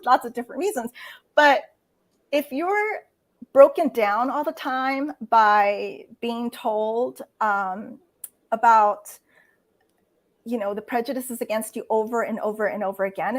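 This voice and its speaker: A middle-aged woman with a fairly high voice speaks as an interview guest. She talks earnestly and thinks aloud, with frequent fillers and self-corrections. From United States. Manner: earnest, many fillers and restarts. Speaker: guest writer. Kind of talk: casual interview talk.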